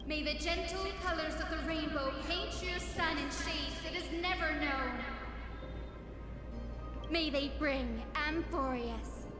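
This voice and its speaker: High-voiced, echoing, sorrowful young sky guardian. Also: female voice. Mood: tender pleading. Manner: solemn, poetic, measured delivery. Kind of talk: dramatic video game voice acting. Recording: echoey long reverb under music.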